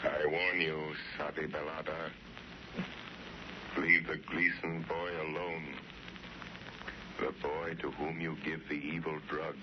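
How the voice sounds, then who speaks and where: An actor playing a calm, commanding male crime fighter in 1930s radio drama style. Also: rumbling low, slow pace, pauses often. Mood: menacing. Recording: muffled, noisy 1930s radio recording.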